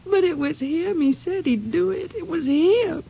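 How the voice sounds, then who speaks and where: An actress playing a weak, bitter wounded aunt in a 1950s radio drama, speaking in halting, tearful fragments. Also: female voice, extremely high pitch, gravelly, full character voice. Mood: halting, insistent. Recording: dull vintage 1950s radio recording.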